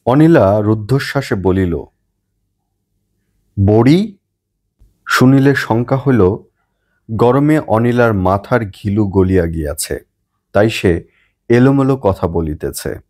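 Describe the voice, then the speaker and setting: A man narrating a literary story as an audiobook, in a measured, deliberate manner. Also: fairly low pitch, lively intonation, darkish tone.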